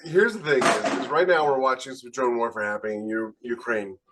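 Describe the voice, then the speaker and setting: A man's voice: mid-range voice, fairly quick, heavy background noise. A man chatting on a panel podcast in a blunt, matter-of-fact, opinionated way. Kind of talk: casual opinionated discussion.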